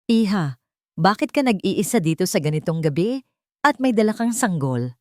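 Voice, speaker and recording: A woman's voice: medium pitch, lively intonation, moderate pace, darkish tone, no echo, clean studio sound, natural voice. A woman voicing a kindly, caring elderly grandmother in a storybook tale, speaking tenderly and reassuringly. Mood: concerned, tender. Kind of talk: storybook dialogue.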